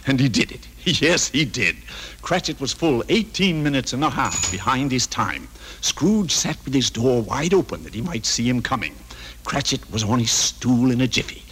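A man narrating a story in genial, expressive old-time radio style. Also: medium pitch, moderate pace, a little hiss or hum. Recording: vintage radio broadcast recording. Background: music.

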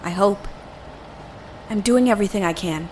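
A woman's voice: medium pitch, normal speed, darkish tone, slight background noise, close to an everyday voice, low-quality recording. A woman acting an overworked, defensive engineer in a science fiction audio drama. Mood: focused, weary.

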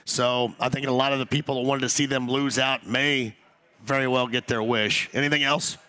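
A man hosting a sports talk radio show, hoarse after losing his voice, talking loosely with many restarts. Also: mid-range voice, level tone, moderate pace, bright, forceful tone. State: hoarse, lost voice.

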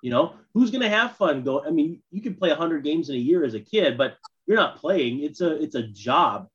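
A man with a fairly high-pitched voice chats casually as a sports podcast co-host. His audio is dull and lo-fi, somewhat muffled. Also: expressive, normal speed, a bit bright. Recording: dull, muffled lo-fi microphone sound.

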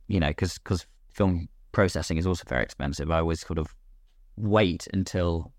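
A British man talking casually as a podcast guest, with an expressive way of telling things. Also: deep voice, normal speed, dry recording, clean studio sound. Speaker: podcast guest, filmmaker and voice actor. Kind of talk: casual conversational interview.

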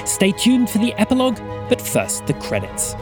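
Music plays under a man's scripted announcement. His voice moves animatedly in pitch as he reads out credits. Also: wildly swooping pitch, normal speed. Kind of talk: scripted narration.

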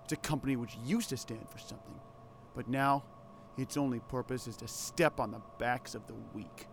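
A man's voice: mid-range voice, normal speed, a little hiss or hum, natural voice. A man playing a defiant teenage rebel leader in an audio drama, blunt and sarcastic but earnest. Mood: bitter, earnest.

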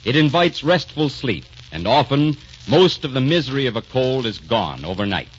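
A man's voice: mid-range voice, sing-song, moderate pace. A male announcer reading a scripted 1940s radio commercial with emphatic, polished salesmanship. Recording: muffled, faintly noisy 1940s radio recording.

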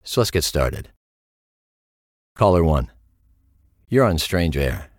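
A very low male voice hosts a late-night paranormal call-in show in a radio drama. He is earnest and courteous, guiding listeners with measured, solemn phrasing that rises and falls expressively, over faint music. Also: very animated, darkish tone, dry recording, studio quality, exaggerated voice. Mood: inviting, mysterious.

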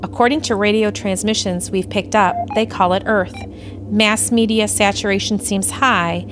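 A woman playing a composed, dryly superior female alien officer with a measured delivery in an audio play. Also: medium pitch, fairly even intonation, music in the background, close to an everyday voice. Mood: dry exasperation.

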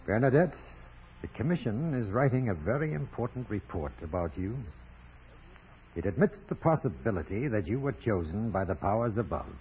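An earnest, fatherly priest in an old radio play, voiced by a man. He speaks formally in a lowish, very expressive voice, over a muffled, slightly hissy recording. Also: lightly acted. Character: earnest, forceful parish priest, a fatherly champion. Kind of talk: 1940s radio drama acting. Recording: muffled, noisy vintage radio broadcast.

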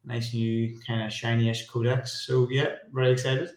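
A man's voice: medium pitch, little pitch movement, normal speed. A man chatting casually as a guest, in echoey, roomy sound. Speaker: podcast guest, tournament player. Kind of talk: casual chat.

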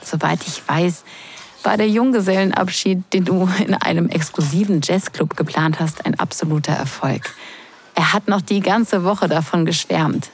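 A woman acting in an intimate audio drama as a teasing wedding guest who is drawn in, breathy and hushed. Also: lowish voice, slight background noise, close to an everyday voice. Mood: teasing, grudging respect. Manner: breathy, hushed, slow and sensual delivery.

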